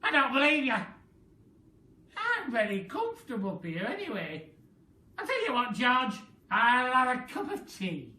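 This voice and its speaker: A head teacher voicing a bossy, cackling old grandmother who scolds and mocks loudly. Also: medium pitch, wildly swooping pitch, measured pace, voice pushed up, full character voice. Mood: grumpy. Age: older. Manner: loud, scolding, mocking. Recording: roomy sound from a distant mic.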